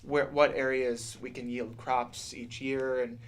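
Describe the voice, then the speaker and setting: A man's voice: mid-range voice, flat delivery, a little slow, clean tone. A man in his thirties chatting earnestly and reflectively as a musician in a casual radio interview. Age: 30s. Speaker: musician, tribute duo singer. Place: small radio studio, indoors. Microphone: studio mic on boom arm.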